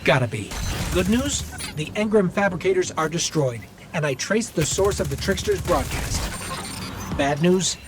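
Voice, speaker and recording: A man playing an earnest, watchful robot companion in a video game, giving measured briefings and warnings. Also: high-pitched, animated, noisy background.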